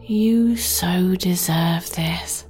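A woman guiding a sleep meditation in a soft, breathy near-whisper, gently and with long pauses. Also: lowish voice. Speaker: meditation guide and podcast host. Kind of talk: guided sleep meditation, soothing narration. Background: meditation music.

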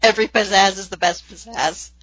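A woman's voice: medium pitch, brisk, a bit bright. A woman co-hosting a casual comic book discussion, speaking with emphatic, playful exaggeration. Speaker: podcast host.

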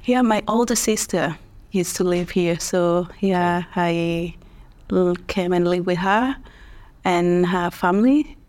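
A woman's voice: lowish voice, slow pace, darkish tone. A woman from Tanzania, Swahili her first language, chatting as an interview guest and often adding "you know" and "yeah". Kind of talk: casual interview chat.

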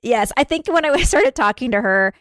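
A woman's voice: sits high, expressive, moderate pace, fairly bright tone, no room sound, clean studio sound. A woman hosting a podcast in an informal, chatty and self-deprecating way. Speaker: podcast host. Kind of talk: chatty podcast intro and interview.

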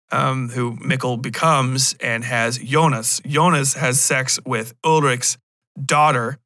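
Bright, punchy American man rambling on a podcast. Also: medium pitch, no room sound. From United States. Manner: profane, blunt and irreverent. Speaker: podcast host. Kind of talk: casual solo podcast rambling review.

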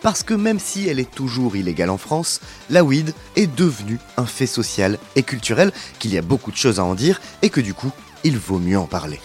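A man co-hosting a podcast, reading scripted narration with a lively, wry delivery. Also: mid-range voice, fairly quick, few pauses, faint music behind. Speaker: podcast co-host.

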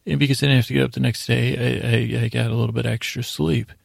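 A man with a heavily creaky, husky voice at a medium pitch hosts a bedtime podcast. He meanders and doubles back on himself in a halting, lulling monologue. The recording is dry and close, with no room sound. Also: flat delivery, dry recording. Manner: meandering, halting, self-interrupting, soothing intent. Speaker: podcast host.

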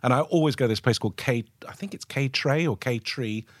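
A man's voice: medium pitch, a little fast, dry recording. A man who grew up in Lebanon, hosting a casual chat, talking in an enthusiastic, rambling way with many restarts. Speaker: podcast host.

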